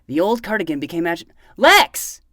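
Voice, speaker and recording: Casting: a woman as a pushy young boy playing hard-boiled detective in audio drama, big swings in pitch. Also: big pitch swings, moderate pace, bright, forceful tone, no room sound, clean studio sound. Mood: insistent, dramatic. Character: brash, theatrical 12-year-old boy playing detective. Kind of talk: fiction podcast acting.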